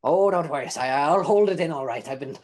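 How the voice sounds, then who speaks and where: A man's voice: a little high, a little fast, darkish tone, natural voice. A man voicing a chatty, cheeky imprisoned informant who rambles and stammers in old-timey folksy phrasing. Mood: rambling.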